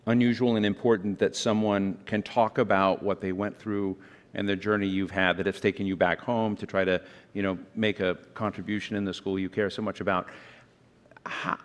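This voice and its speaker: A man moderating a panel discussion in a conversational way, often restarting his sentences. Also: fairly low pitch. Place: conference hall. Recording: reverberant hall.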